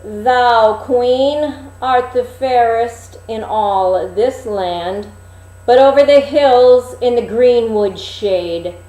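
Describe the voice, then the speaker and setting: A woman's voice: on the high side, warm tone, roomy sound, rough recording. A woman voicing a solemn storybook magic mirror, reciting rhymed verse in an incantatory manner. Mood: solemn, oracular. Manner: slow, incantatory verse, emphatic then falling away. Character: solemn magic looking-glass answering in rhyme.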